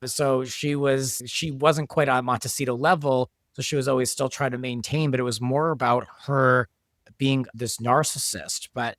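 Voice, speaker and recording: A man's voice: medium pitch, fairly even intonation, normal speed, fairly bright tone, dry recording, studio quality. A man talking as a podcast guest and show creator, explaining things conversationally and thinking aloud as he goes. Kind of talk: conversational behind-the-scenes discussion.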